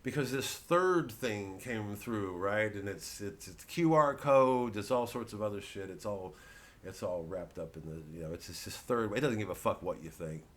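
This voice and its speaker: A man with a fairly low voice speaks as a comedian in a rambling rant. He is blunt and indignant, and he swears freely as he goes. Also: animated, normal speed, fairly bright tone. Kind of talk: rambling, profane stand-up rant.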